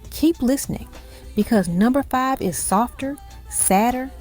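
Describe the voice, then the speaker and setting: A woman's voice: fairly high pitch, measured pace, darkish tone. A woman reading scripted music countdown narration, telling it like an evocative story in short dramatic phrases.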